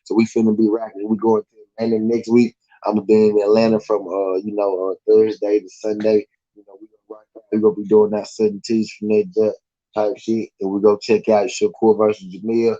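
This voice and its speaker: A man's voice: fairly low pitch, level tone, a little fast, mellow, muffled recording. A man with a Southern US accent hosting a live stream, chatting casually in a laid-back, slangy, rambling way with lots of fillers. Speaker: podcast host.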